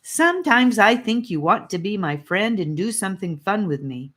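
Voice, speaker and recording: A woman voicing a playful, sulky, excitable young boy in an audiobook, speaking bluntly with some whine. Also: medium pitch, normal speed, pitched above the performer's own voice. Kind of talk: audiobook character voice.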